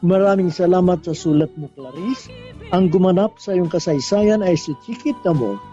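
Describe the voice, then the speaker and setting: A man hosting a radio drama, giving scripted, sentimental advice to a letter writer in deliberate, emphatic phrases. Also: high voice, dark tone. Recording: muffled audio, faint music bed.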